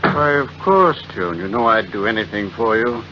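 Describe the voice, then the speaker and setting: Man playing caring older family friend, old radio drama, expressive voice, courteous, hissy muffled sound. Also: on the low side, normal speed, natural voice. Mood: concerned. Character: solicitous older male family friend, calm and protective. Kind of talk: 1940s radio drama acting.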